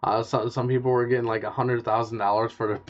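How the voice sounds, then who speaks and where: A man's voice: medium pitch, little pitch movement, fast talker. A man hosting a casual radio show, reading news items with many ums and restarts. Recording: dull lo-fi stream audio.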